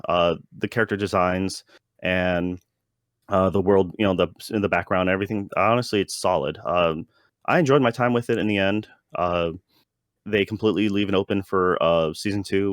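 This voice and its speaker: A man with a fairly low voice chats as a podcast co-host giving a review. His delivery is hesitant and rambling, with frequent self-interruptions. The sound is dry, with little room around it. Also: no echo. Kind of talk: casual review chat.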